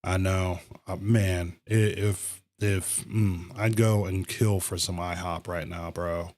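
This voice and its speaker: A man's voice: low voice, steady tone, slow, a little mellow. A man livestreaming a video game with casual, slangy commentary. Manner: slangy, informal. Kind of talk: casual game stream commentary, reading dialogue aloud.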